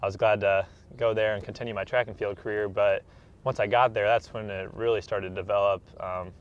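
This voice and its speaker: A man's voice: on the low side, level tone, fairly quick, smooth. A man, answering casually as an athlete being interviewed. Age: 20s. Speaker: interview guest, professional track athlete. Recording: dull, slightly noisy room recording.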